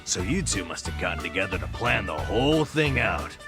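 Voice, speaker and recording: An actor playing a suspicious yet gullible drinking buddy in a video game, talking in a casual, droll way. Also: male voice, sits low, very animated, brisk, over music. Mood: pleased, hopeful. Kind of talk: dramatic game cutscene acting.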